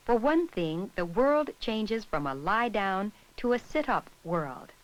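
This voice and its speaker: A woman reading scripted narration for an educational film in a measured, instructional way. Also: mid-range voice, low-quality recording.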